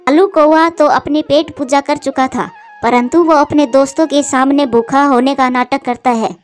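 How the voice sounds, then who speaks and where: A woman reads a scripted children's cartoon story as its narrator. Her voice is high, with faint music underneath. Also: steady tone, normal speed.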